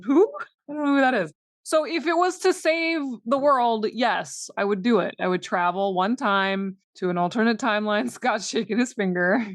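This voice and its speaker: A woman's voice: a little high, moderate pace, dry recording, clean studio sound. A woman co-hosting a podcast, talking casually and conversationally. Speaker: podcast co-host.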